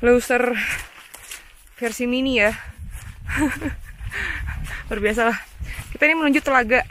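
A woman's voice: fairly high pitch, slow pace, fairly bright tone, a little hiss or hum. A woman, chatting casually and laughing often as she vlogs a mountain hike outdoors on a phone held at arm's length. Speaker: hiking vlogger. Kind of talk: casual vlog narration. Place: open mountain savanna outdoors. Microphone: phone camera at arm's length.

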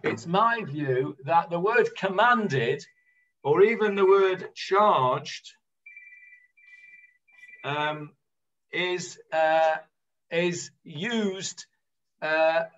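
A man's voice: sits high, fairly bright tone. An older man leading an online Talmud study class, explaining with many fillers and restarts. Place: home room, on a video call. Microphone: computer mic on a video call. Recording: dull lo-fi video call audio.

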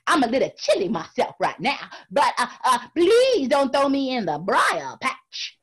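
A woman's voice: sits high, wildly swooping pitch, unhurried, fairly bright tone, breathy edge, pitched above the performer's own voice, full character voice. A woman voicing a sly, indignant male rabbit trickster from a folk tale, prim and huffy, then pleading.

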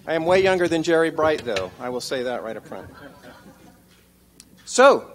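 A man's voice: a little high, sing-song, normal speed, a bit bright, a little hiss or hum. A man in his sixties moderating a town hall panel on a theater stage, talking in a joking, conversational way into a stand mic. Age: 60s.

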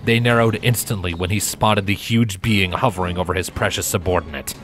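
Guy narrating an audiobook story, lowish voice, music playing underneath. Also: a little fast, fairly bright tone. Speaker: fan fiction narrator. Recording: music bed behind narration.

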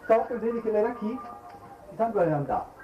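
A chatty rustic servant with a Lombard accent. The voice is high and bounces around animatedly. It comes from an echoey vintage television drama. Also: male voice, animated, brisk, highs cut off. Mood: bustling. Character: chatty rustic dialect-speaking household servant. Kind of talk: 1950s Italian television period drama acting. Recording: echoey old TV soundtrack, music.

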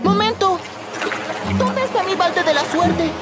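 A woman voicing an excitable, argumentative young boy in a Latin American Spanish cartoon dub, whiny and insistent. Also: very high-pitched, unhurried. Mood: anxious. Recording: loud music behind the voice.

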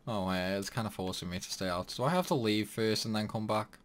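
A man's voice: on the low side, a little fast. A man casually commentating a video game playthrough, rambling and talking to himself as he plays. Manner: rambling, talks to himself while playing. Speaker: gaming channel host.

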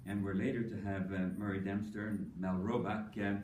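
An older man giving a prepared tribute speech at an academic conference, measured and dry-humored, with frequent ums. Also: fairly low pitch, level tone, darkish tone, slight background noise. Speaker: panelist speaking at an academic tribute session. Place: conference hall. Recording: echoey hall, voice from a distance.

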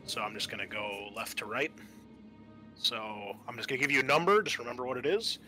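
Bright-voiced man running a tabletop game. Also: medium pitch, brisk, faint music behind. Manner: casual, profane, explanatory. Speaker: host and game master. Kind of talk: improvised narration of a tabletop horror roleplaying game.

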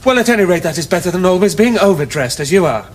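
A stuffy young gentleman in a Victorian television comedy. His voice is high and fast, indignant and clipped, with an upper-class English accent. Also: expressive. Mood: stern, exasperated. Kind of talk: period stage comedy acting for television.

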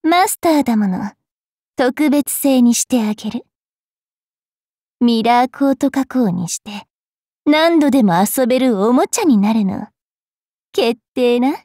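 Bratty evil fairy girl for an anime game, high voice, slow sneery drawl, talks trash. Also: lively intonation, warm tone, no room sound, clean studio sound. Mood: smug threatening. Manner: sneering, taunting, rough-mouthed, drawling. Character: haughty, cruel young fairy girl priestess, bratty and teasing.

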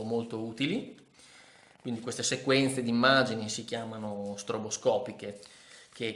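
Male vlogger, 30s, middle voice, unhurried and hesitant, reverberant home recording. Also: medium pitch, pushed and bright. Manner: hesitant, many fillers and restarts, thoughtful. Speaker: ski vlogger reviewing a book. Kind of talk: casual spoken book review to camera. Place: living room indoors. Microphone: camera in front of face. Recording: echoey room.

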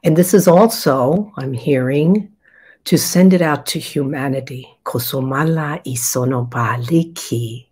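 Older woman, raspy, very low voice, unhurried meditative guidance, video call. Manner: slow, soft and meditative, with pauses. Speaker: spiritual guide. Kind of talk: guided meditation with channeled chanting. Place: indoor room at home.

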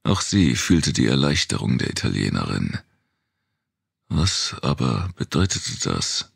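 A man's voice: extremely low pitch, big pitch swings, a little slow, vocal fry, breathy edge, dry recording, clean studio sound. A man reading dystopian fiction as a scripted, dramatic audiobook narration.

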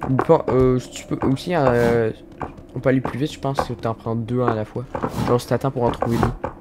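A young man with a Québécois French accent chatting casually while playing a co-op game, relaxed and matter-of-fact. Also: mid-range voice, moderate pace, heavy background noise. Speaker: gameplay channel host. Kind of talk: casual co-op gaming chat. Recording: game music underneath. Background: game music.

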